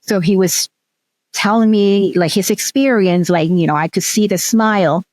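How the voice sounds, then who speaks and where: A woman's voice: medium pitch, moderate pace, darkish tone, no room sound. A middle-aged woman from Hong Kong whose first language is Cantonese, a researcher telling stories as an interview guest, with frequent ums and restarts. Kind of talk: casual interview storytelling.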